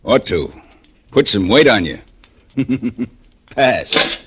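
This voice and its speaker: An actor playing an easygoing male gate guard who teases in a slow drawl in a vintage radio drama. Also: mid-range voice, expressive, a bit bright, breathy edge, exaggerated voice. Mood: teasing.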